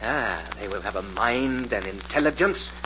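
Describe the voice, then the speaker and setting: An actor playing an arrogant, fanatical professor in an old radio drama, speaking in a grandiose, theatrical, self-important way. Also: male voice, on the low side, expressive, moderate pace, natural voice. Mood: fanatical relish. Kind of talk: 1940s radio drama acting. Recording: muffled, noisy old radio recording.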